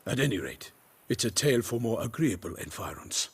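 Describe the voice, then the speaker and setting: An actor playing a proud, chivalrous knight errant in a video game, speaking in a flowery, formal, declamatory way. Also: male voice, fairly low pitch, big pitch swings, brisk, fairly bright tone, husky, heavily acted. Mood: earnest. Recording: game scene with faint background noise. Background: outdoor ambience, horse hooves.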